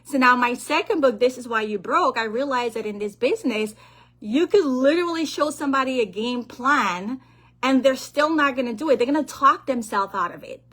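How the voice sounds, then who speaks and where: A woman from Guyana, a financial planner and author giving a lively motivational talk on money over a call and often checking in with her listeners. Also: sits high, normal speed.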